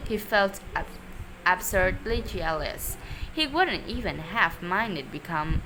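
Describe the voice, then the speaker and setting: A woman reading a children's story aloud expressively and deliberately, sometimes stumbling over words. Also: fairly low pitch, background noise. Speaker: storybook reader.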